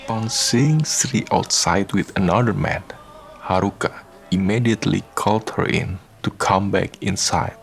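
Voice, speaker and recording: Radio drama narrator, male: low, creaky voice, measured scripted storytelling over faint music.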